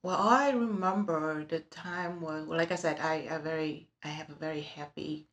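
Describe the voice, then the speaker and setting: Woman, Vietnamese accent, low voice, halting, fillers, office noise. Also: steady tone, a bit bright. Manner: halting, many fillers and restarts. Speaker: interviewee, realtor. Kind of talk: personal life story in conversation. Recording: office room with background noises.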